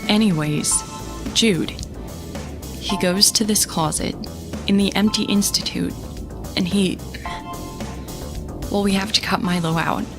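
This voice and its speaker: A woman's voice: medium pitch, unhurried, pauses often, music in the background, close to an everyday voice. A woman playing an exasperated young space captain who narrates her story in a sarcastic, conversational way for an audio drama. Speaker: narrator and lead character.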